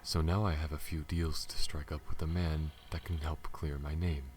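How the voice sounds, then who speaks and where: A man playing a guarded, protective alien smuggler pilot in an ASMR audio roleplay, earnest and halting, speaking to the listener. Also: very deep voice, flat delivery, darkish tone. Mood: confessional, grave. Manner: halting, stammers when flustered, earnest. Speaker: voice actor. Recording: audible background noise.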